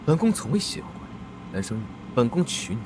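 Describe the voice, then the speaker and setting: An actor playing a cold, arrogant young crown prince who speaks with aloof disdain. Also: male voice, medium pitch, sing-song, quick pace, background noise, natural voice. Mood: contemptuous.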